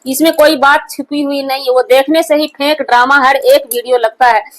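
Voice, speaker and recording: A woman's voice: sits high, steady tone, moderate pace. A woman gives opinionated news commentary in an emphatic, repetitive and accusatory manner. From India. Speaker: online commentary host.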